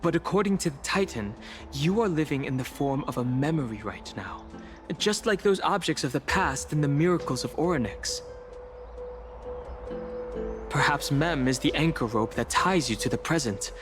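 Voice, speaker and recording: Measured, earnest young hero, a man acting over music. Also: fairly high pitch, lively intonation, close to an everyday voice. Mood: earnest, reassuring. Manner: measured, earnest, reserved, with sighs. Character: serious, level-headed young male adventurer, quietly caring companion. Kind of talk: scripted fantasy game dialogue.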